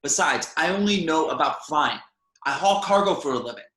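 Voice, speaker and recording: A man's voice: fairly high pitch, animated, moderate pace, sharp tone. Man playing a brusque captain in an echoey room. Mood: resigned. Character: weary, brusque male spaceship captain. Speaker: actor reading spaceship captain in online table read. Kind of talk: amateur script table read.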